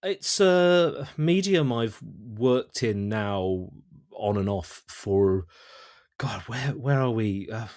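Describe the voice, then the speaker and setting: A man chatting as an interview guest about his creative work, thinking aloud haltingly and often repeating words. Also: on the low side, lively intonation, darkish tone, no room sound, studio quality. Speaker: audio drama writer-director, interview guest. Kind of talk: casual interview chat.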